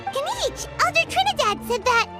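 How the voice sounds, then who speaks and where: Squeaky, very high-pitched little fairy companion. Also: female voice, normal speed. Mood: chirpy. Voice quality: squeaky, childlike. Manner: excitable, chatty, speaks of self in third person. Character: small excitable childlike female fairy companion. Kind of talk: video game voice acting. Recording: music behind the voice.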